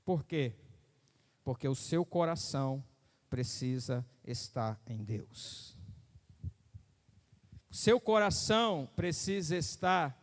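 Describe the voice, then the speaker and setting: A pastor preaches with emphatic repetition, pressing his listeners again and again to pay attention. His voice sits a little high for a man. It rings out in a hall with a long reverb trailing after each phrase. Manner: emphatic preaching, repeating phrases, asking the crowd if they follow. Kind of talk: sermon.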